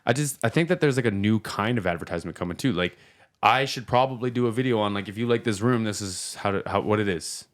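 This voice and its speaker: A man's voice: fairly low pitch, fast talker. A man chatting casually as a podcast host, with informal slang and an emphatic, opinionated way of talking. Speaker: podcast host and reaction video creator.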